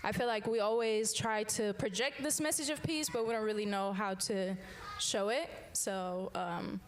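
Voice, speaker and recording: A woman's voice: mid-range voice, moderate pace, a little hiss or hum. A young woman from Sudan, a teacher, performing spoken word poetry live before an audience, with a rhythmic, emphatic refrain. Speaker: poet and teacher. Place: live event room with an audience.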